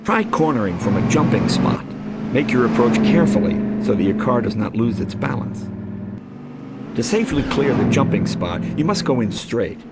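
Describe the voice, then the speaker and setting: Casting: male narrator for racing game lessons, mid-pitched with lively intonation, instructional read under roaring car engines. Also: normal speed. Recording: loud car engine noise over voice. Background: race car engines and driving sounds.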